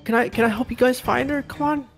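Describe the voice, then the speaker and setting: A woman's voice: sits high, fairly even intonation, quick pace, vocal fry, faint music behind. A woman playing an earnest young demon boy. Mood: pleading. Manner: earnest, pleading.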